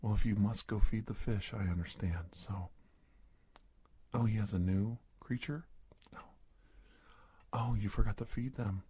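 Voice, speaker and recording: A man playing a polite, nervous middle-aged investigator in an ASMR roleplay, soft-spoken and halting, often slipping into a whisper. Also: steady tone. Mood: curious, attentive. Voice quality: husky, breathy, gravelly low voice. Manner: halting, hesitant, courteous, deliberate phrasing.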